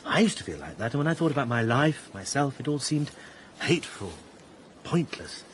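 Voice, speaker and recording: A man acts a searching, idealistic gentleman in a British radio drama. His British voice is medium in pitch and swings expressively, fervent yet hesitant, over faint background ambience. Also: very animated, moderate pace, a little hiss or hum, close to an everyday voice. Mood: earnest. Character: earnest, idealistic, searching gentleman, a sensitive believer.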